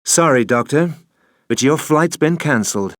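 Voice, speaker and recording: A man playing a suave, unflappable secret agent in a video game, delivering cool, deadpan quips. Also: a little high, normal speed, dry recording, studio quality, natural voice. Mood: cool, wry.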